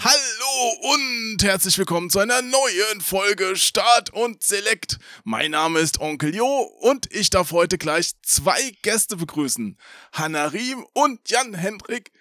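A man's voice: high voice, animated, slow pace, pushed and bright, dry recording, studio quality, soft background music. A man hosting a podcast and chatting casually with guests in a jokey, teasing way. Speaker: podcast host.

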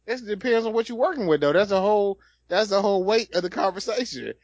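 Fairly high, lively man, laid-back co-host. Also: fast talker, no room sound, highs cut off. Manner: laid-back, laughing, stammers and restarts. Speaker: podcast co-host. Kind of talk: casual joking chat.

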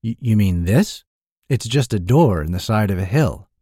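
A man's voice: on the low side, expressive, moderate pace, warm tone, husky, no echo, studio quality. A man voicing a haughty, fervent ranger who declaims in florid, old-fashioned heroic language. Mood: puzzled. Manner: declamatory, florid archaic speech.

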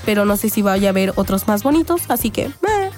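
A teenage girl, co-hosting a school radio show in casual chat, slightly hoarse and joking around. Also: medium pitch, big pitch swings, brisk, darkish tone, faint music behind. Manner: playful, jokey. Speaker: student radio co-host.

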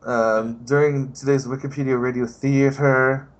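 Young guy on a podcast, jokey, lots of uhs, middle pitch. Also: level tone, unhurried, dull audio. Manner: joking, hesitant with many fillers. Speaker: podcast guest. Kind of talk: casual podcast chat.

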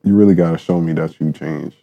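A man joking loudly in a casual podcast chat and laughing often. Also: rumbling low, steady tone, a little fast, gravelly, dull audio.

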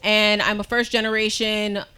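A woman from the South Bronx, a bike mechanic, introducing herself on a panel in a lively, confident way. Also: medium pitch, normal speed, clean tone, rough recording. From South Bronx, New York.

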